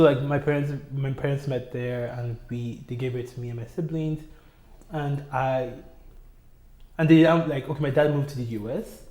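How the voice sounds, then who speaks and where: A young man from Nigeria telling his life story in an oral history interview, relaxed and hesitant, with many fillers. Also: medium pitch, roomy sound. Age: young adult. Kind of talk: casual personal storytelling. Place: community center room.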